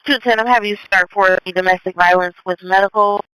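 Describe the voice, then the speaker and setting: A man's voice: high voice, fairly even intonation, fast talker, sharp tone, clear, resonant tone, no room sound, poor audio. A man working as a dispatcher, calling out units and addresses over two-way radio in a clipped, procedural manner. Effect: two-way radio transmission. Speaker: police and fire dispatcher. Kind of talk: scanner radio dispatch call-outs.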